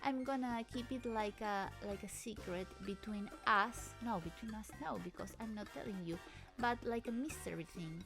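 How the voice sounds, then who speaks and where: A woman's voice: mid-range voice, normal speed, music in the background. A woman who is not a native English speaker, talking over video clips as the host of her channel in a hesitant, nervous way, often restarting her sentences, recorded at home in an apartment. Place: apartment in a busy town area with traffic outside.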